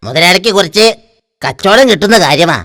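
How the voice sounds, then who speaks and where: Wheedling petty freeloader in a cartoon, higher voice, very animated melody. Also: male voice, brisk, no room sound, studio quality, faint music behind, exaggerated voice. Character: wheedling, sneaky male customer, a petty freeloader. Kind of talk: cartoon voice acting.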